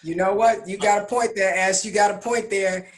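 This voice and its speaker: A man hosting a casual podcast who reports news items, pausing on fillers between phrases. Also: sits high, steady tone. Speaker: podcast host.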